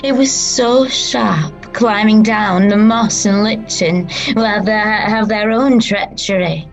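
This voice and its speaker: A woman playing a grieving but resolute female disciple who recalls her rejection in a halting dramatic monologue with long pauses. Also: medium pitch, muffled recording, faint music behind. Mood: pained, wondering.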